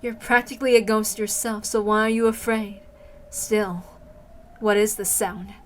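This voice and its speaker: A woman voicing a deadpan, world-weary young girl who is never fazed, in an amateur story reading. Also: mid-range voice, a little slow, slight background noise, lightly acted. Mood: calm, unfazed. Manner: deadpan, unfazed.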